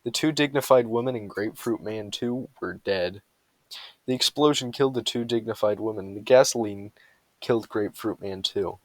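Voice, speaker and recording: A man's voice: fairly low pitch, moderate pace, no echo. A man reading humorous bedtime stories aloud with a mock-serious storytelling delivery.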